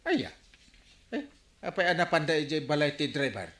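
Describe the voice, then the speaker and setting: A man's voice: on the high side, big pitch swings, normal speed, fairly bright tone. A man playing an exasperated middle-aged village captain in an Ilocano radio comedy drama, with an Ilocano Filipino accent. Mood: puzzled. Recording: roomy, echoing studio sound.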